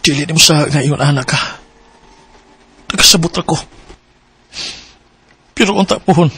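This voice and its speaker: An actor with a Filipino Cebuano accent playing a hurt, earnest young boyfriend in a radio drama, his voice husky. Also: a little high, moderate pace, pushed and bright, full character voice. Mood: sad acceptance. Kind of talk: radio drama acting with first-person narration.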